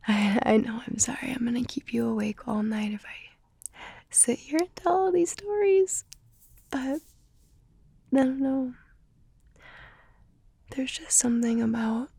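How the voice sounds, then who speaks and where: A young woman whispering softly in an ASMR roleplay, gentle and tender, a little tearful. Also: a little high, creaky, breathy edge, no echo. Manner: soft whispering.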